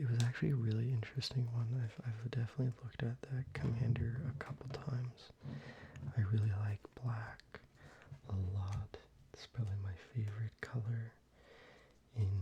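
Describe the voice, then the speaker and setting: A man talking through his card collection in a soft-spoken ASMR video, mostly whispering in a relaxed, casual way with the odd swear word. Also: lowish voice. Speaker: ASMR creator. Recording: slightly dull, faint background noise.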